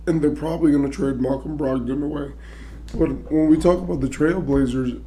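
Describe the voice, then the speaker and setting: A man whose voice is worn and hoarse, clearing his throat as he goes. His tone is very dark and muffled and stays mostly flat, though it sits a little high for a man. He talks casually, thinking out loud with lots of filler checks. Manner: chatty, thinking aloud, many "right?" and "you know". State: losing his voice. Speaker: sports commentary YouTuber. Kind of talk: casual basketball trade commentary.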